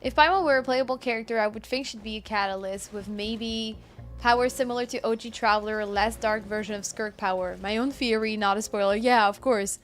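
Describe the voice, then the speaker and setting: A woman streams a video game, chatting casually and reacting with gushing exclamations. Her voice sits fairly high, and soft game music plays underneath. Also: fairly even intonation, fairly quick. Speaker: livestream gamer.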